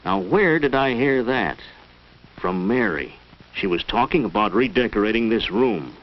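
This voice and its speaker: A man's voice: fairly low pitch, expressive, highs cut off, lightly acted. An actor playing an earnest middle-aged American father who speaks hesitantly and gropes for words, in old educational film style. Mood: musing, pondering. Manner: hesitant, halting, pondering. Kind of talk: mid-century educational film acting.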